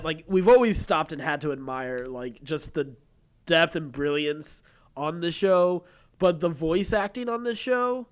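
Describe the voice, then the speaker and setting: A man co-hosting a podcast in casual chat, speaking in a halting, digressive way with chuckles. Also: high-pitched, a bit bright. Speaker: podcast co-host. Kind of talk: casual chat about TV and voice acting.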